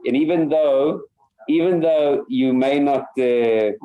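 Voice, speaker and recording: A man teaching a Torah class over a video call as a rabbi, speaking haltingly with many fillers and repeated words. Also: mid-range voice, narrow, tinny audio.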